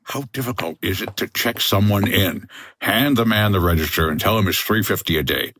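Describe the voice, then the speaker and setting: Deep, deadpan noir tough guy. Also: fairly quick, dry recording, clean studio sound. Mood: gruff, wry. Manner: deadpan, sardonic. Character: dry, unflappable American hotel owner, noir tough guy. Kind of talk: noir comedy radio drama acting.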